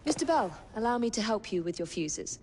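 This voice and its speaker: A woman's voice: on the high side, very animated, a little fast, darkish tone, slight background noise. An actress playing a composed, proper young Victorian woman. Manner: crisp, proper, businesslike.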